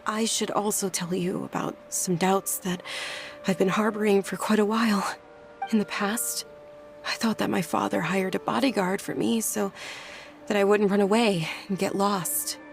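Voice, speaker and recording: A woman playing a gentle, dutiful young noblewoman, polite and resolute, speaking in a measured way in an anime-style game. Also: mid-range voice, fairly even intonation, creaky, soft background music, lightly acted. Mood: thoughtful, probing.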